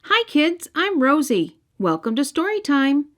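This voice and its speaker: A woman narrating a children's storybook as the storyteller, in an expressive scripted read-aloud style. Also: sits high, measured pace. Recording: music bed behind narration.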